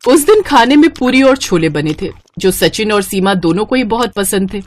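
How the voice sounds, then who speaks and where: A woman narrating an animated moral story in a scripted storytelling style. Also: medium pitch, moderate pace, no echo.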